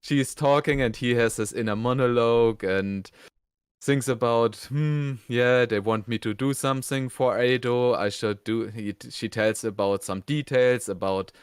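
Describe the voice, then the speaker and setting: A man co-hosting a casual review, retelling scenes in a hesitant, halting way. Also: mid-range voice, fairly even intonation, clean tone, dry recording, studio quality. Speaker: reviewer, co-host.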